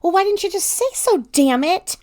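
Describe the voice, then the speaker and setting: Storybook role played by a woman: defensive, accusing hothead, raised creaky voice, forceful and exasperated. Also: medium pitch, lively intonation, moderate pace. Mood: furious, exasperated. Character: short-tempered, defensive adult snapping at a loved one.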